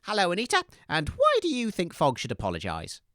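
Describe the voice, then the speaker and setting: A woman's voice: fairly low pitch, huge pitch swings, fairly quick, fairly bright tone, dry recording. A woman playing a flustered, affable radio co-host and quizmaster in an audio comedy. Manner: hesitant, flustered, appeasing. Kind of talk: scripted audio comedy hosting.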